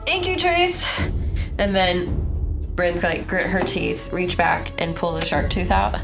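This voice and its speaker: A woman playing a tabletop fantasy game, chatting casually in an animated way. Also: on the low side, unhurried, vocal fry. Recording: loud music behind. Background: loud cinematic score.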